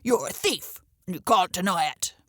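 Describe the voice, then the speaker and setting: Woman as a hoarse, blustering old male crow. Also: on the low side, expressive, a little slow, a bit bright, no echo, studio quality. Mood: accusing. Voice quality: hoarse, croaking. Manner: stern, accusing, blustering.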